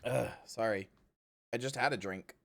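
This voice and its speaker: A man streaming a video game, talking casually with a hoarse, scratchy throat that he keeps clearing. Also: medium pitch, moderate pace. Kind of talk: casual gameplay commentary.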